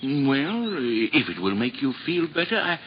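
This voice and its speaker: A kindly, fatherly monk who serves as the monastery cook, gentle and given to chuckling. His voice is fairly high and moves expressively. It comes from a muffled old radio recording with a light hiss. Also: very animated, unhurried. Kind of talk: 1950s radio drama acting.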